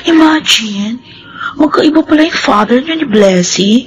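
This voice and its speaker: A woman's voice: high-pitched, expressive, unhurried, muffled recording, slight background noise. A woman playing a judgmental young girlfriend in a Filipino radio drama, regretful yet dismissive as she speaks. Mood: regretful, disdainful. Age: young adult. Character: apologetic but judgmental young girlfriend rejecting her lover.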